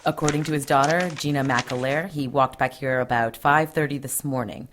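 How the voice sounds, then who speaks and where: A woman's voice: extremely low pitch, steady tone, normal speed, noisy background. A woman playing a tough police detective in an audio drama, dry, curt and commanding. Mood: businesslike. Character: tough no-nonsense female police detective, sarcastic boss.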